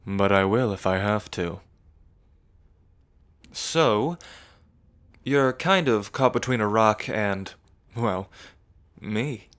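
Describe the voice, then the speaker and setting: A man's voice: lowish voice, lively intonation, takes it slowly, long pauses, fairly bright tone, clear, resonant tone. A man playing a smug, menacing evil prince in an ASMR roleplay, mocking and condescending, drawling like a theatrical villain. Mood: coaxing threat.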